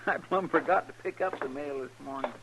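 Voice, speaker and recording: An actor playing an amiable, deferential marshal's helper in a 1950s radio western, with a homespun rural American accent and drawl. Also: male voice, on the high side, moderate pace, close to an everyday voice. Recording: noisy, crackly old radio recording.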